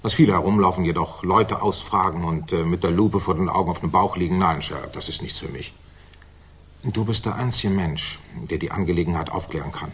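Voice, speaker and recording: Mycroft Holmes, a low-voiced, pompous senior official. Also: male voice, a bit bright, slightly rough. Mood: urgent, imperious. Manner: deliberate, formal, pompous. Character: Mycroft Holmes, weighty, authoritative, self-satisfied senior government official. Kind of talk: 1960s German radio drama acting.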